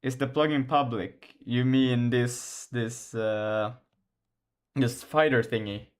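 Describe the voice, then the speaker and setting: A man's voice: mid-range voice, steady tone, takes it slowly. Young man, Finland-Swedish accent, native Swedish, loose rambling streamer delivery. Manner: rambling, restarts often, laughs a lot. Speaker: game developer streaming. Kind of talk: casual live-stream chat while coding.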